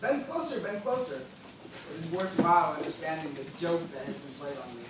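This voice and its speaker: A man acting a shipwrecked gentleman in a stage play, resigned and bitter, speaking in a formal, measured, theatrical way. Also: fairly high pitch, animated, natural voice. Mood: bitterly ironic. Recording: distant, echoey, noisy live theatre recording.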